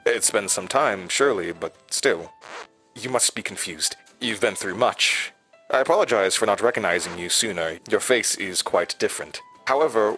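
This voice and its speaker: An eerily eager hotel manager in a horror audio drama, devoted to serving his master. His voice sits fairly low with a bright, pressing edge. He speaks with formal butler-like deference over faint music. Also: rough recording.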